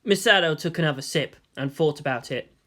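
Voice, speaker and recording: Bright-voiced woman narrating a story. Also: sits low, brisk. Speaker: fan fiction narrator. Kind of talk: expressive audiobook-style story narration.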